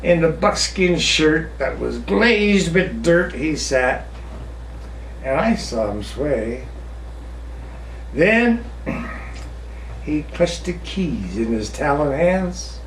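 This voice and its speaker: A man reciting a narrative ballad in a theatrical, expressive storytelling manner with drawn-out pauses. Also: fairly high pitch. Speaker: poetry reciter. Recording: roomy, distant mic with faint noise.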